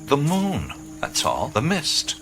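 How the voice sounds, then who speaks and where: An actor playing a laid-back, skeptical adventurer hero, talking in a dry, wry way. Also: male voice, on the high side, huge pitch swings, slow, gravelly, heavily acted. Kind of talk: radio play acting with inner monologue. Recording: studio radio play with music score.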